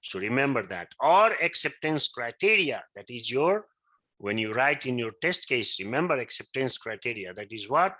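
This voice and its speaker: A man's voice: mid-range voice, sing-song, normal speed, bright, forceful tone. A male teacher whose first language is Maithili, giving an interactive class lecture and often checking that his students understand. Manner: keeps checking understanding, asks if he is right.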